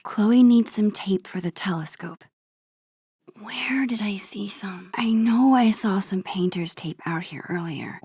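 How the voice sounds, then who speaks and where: Sentimental, shy teen girl, video game inner monologue. Hushed, husky-soft delivery, medium pitch, dry studio sound. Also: no room sound. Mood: thoughtful. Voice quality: soft, breathy, whispery. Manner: gentle, musing, talking to herself. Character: teenage girl, shy, wistful, nerdy and sentimental. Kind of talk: introspective game character monologue.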